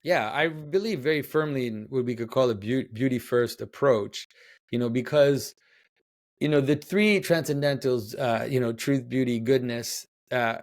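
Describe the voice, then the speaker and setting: A man's voice: medium pitch, normal speed. Reflective French-Canadian man on a video call. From Quebec, Canada. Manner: thoughtful, reflective, with frequent fillers and restarts. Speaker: guest author and artist. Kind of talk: conversational interview answers. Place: home room, indoors.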